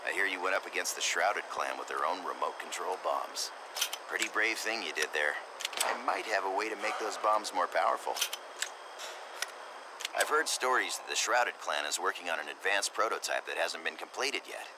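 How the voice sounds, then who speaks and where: A man's voice: deep voice, lively intonation, moderate pace, very noisy. An actor playing a friendly, confident male mechanic in a wasteland video game, with a familiar, assured manner. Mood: friendly, scheming. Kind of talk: video game character acting.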